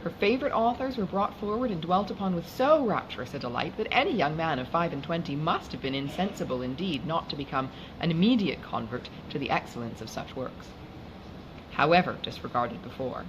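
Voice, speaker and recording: A woman narrates a classic novel in a fairly low voice. Her delivery is formal and expressive, with lively movement in pitch. Noticeable noise runs behind the recording. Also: a little fast. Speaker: audiobook reader.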